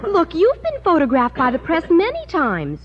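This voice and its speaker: Very high, pert teenage girl. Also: wildly swooping pitch, normal speed, heavily acted. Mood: pert, girlish. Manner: pert, girlish. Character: pert teenage daughter of the principal, cheeky. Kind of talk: 1950s radio comedy acting. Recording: muffled, noisy old radio recording.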